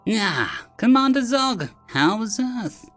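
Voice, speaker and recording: A man voices an inquisitive woman scientist in an audio story. The pitch is raised and lilts widely as she politely prods for more, with music behind. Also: very animated, takes it slowly, a bit bright, full character voice. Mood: curious. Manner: inquisitive, prompting. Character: curious female lead scientist, politely probing.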